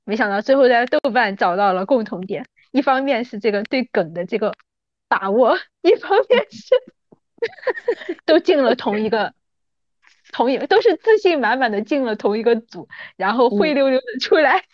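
A woman's voice: a little high, sing-song, normal speed, no room sound, dull audio. A woman in her thirties chatting casually as a guest, joking in a playful, mock-dramatic way. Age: 30s. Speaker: podcast guest. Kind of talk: casual chat between old friends.

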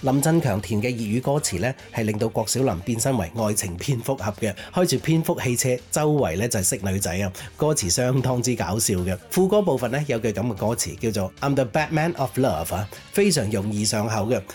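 A man's voice: mid-range voice, animated, brisk, few pauses, darkish tone. A man presenting a music programme with chatty, explanatory commentary in a conversational style. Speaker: music radio or podcast host.

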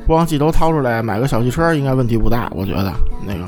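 A man's voice: mid-range voice, animated, racing, music in the background. A man co-hosting a podcast in casual chat, blunt and joking, often stammering over repeated words. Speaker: podcast co-host.

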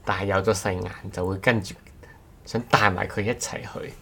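Podcast co-host, man, low register, hesitant conversational delivery. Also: level tone, normal speed, gravelly, fairly bright tone, slight background noise. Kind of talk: casual podcast chat.

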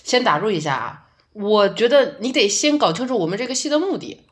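A woman playing a conservative male theater director in an audio drama, flustered, interrupting and quick to shoot ideas down. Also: medium pitch, lively intonation, fairly bright tone, natural voice. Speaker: director character.

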